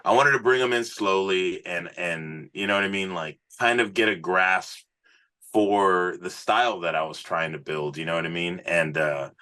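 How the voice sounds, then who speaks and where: A man with a low-pitched, forceful voice talks like a musician being interviewed. He is earnest and informal, telling his story in a loose, conversational way peppered with little fillers. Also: moderate pace. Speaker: musician, singer, podcast guest.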